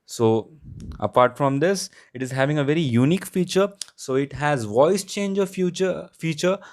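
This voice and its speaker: Indian guy doing a tech review walkthrough, clean crisp voice, mid pitch, no room echo. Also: lively intonation, normal speed, clean studio sound. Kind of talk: product review narration, unscripted walkthrough.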